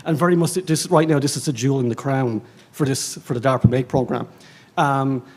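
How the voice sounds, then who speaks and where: An Irish man speaking as a librarian on a conference panel, rambling and animated, with many fillers and self-mocking asides. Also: mid-range voice, fairly quick. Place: conference stage.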